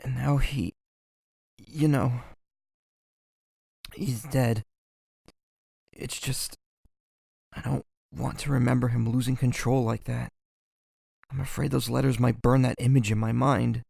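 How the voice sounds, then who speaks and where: Casting: male actor for soft-hearted young man on the run, fry-laden voice, weary and pleading, fantasy audio drama. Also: mid-range voice, fairly even intonation, slow pace, long pauses, no room sound, studio quality, exaggerated voice. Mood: hesitant, uneasy.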